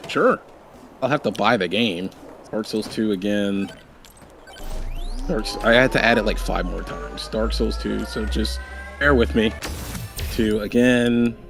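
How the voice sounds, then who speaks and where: A man's voice: on the low side, measured pace, gravelly, long pauses, fairly bright tone, faint music behind. A man in his twenties from Maryland who hosts a livestream, rambling and thinking aloud in a loose, casual way. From Maryland, USA. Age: 20s. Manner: rambling, thinks aloud, repeats himself while doing setup. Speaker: streamer host.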